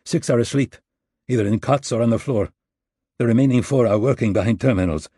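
A cold, curt hit-squad leader barks orders in a husky, dark voice, as a man puts him on. The sound is dry and close, like an audiobook read. Each order comes out clipped and commanding. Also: mid-range voice, brisk, no room sound, natural voice. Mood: briefing.

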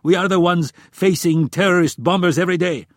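A man's voice: mid-range voice, moderate pace, darkish tone, no echo, studio quality, lightly acted. A man voicing a blunt, combative Israeli intelligence chief in an audiobook, exasperated and emphatic in manner. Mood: frustrated, defiant.

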